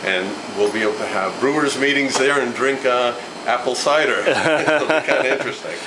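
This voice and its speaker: A man's voice: medium pitch, a little fast. A man with a Boston accent, a brewery co-owner talking eagerly and at length about his beers and local history. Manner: enthusiastic, rambling storyteller. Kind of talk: casual interview in a store. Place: liquor store. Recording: noisy store with air conditioning hum.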